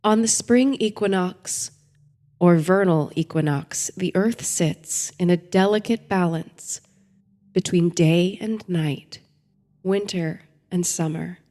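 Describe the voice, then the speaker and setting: A woman host narrating a bedtime story and guided meditation in a soothing, gentle, measured way. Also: on the low side.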